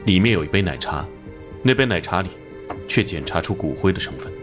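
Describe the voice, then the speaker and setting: A man acting an earnest, authoritative police detective captain in a Chinese animated crime drama. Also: sits low, music in the background. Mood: serious, questioning. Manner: authoritative, brisk, serious.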